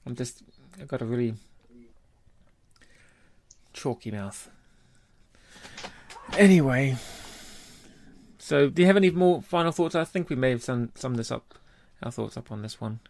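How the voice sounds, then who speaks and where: A man with an average-pitched voice speaks as a podcast co-host. He gives his opinions in relaxed, informal conversation, as in a casual review. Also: a little slow, gravelly, long pauses, a little hiss or hum. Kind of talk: casual chat, beer review.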